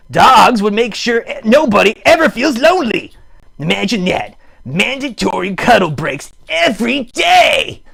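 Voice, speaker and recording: A man voicing an excitable, earnest puppet in a raspy voice, making emphatic speeches in a live improvised puppet show. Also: very high voice, heavily acted. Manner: emphatic, exclamatory, mock-campaign speech. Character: excitable puppet character, earnest champion of dogs. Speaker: puppeteer host.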